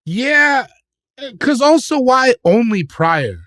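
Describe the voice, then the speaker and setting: A man co-hosting a comedy podcast, riffing jokes in animated banter and trailing off into mutters. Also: very high-pitched, slow, no room sound, clean studio sound. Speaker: podcast co-host.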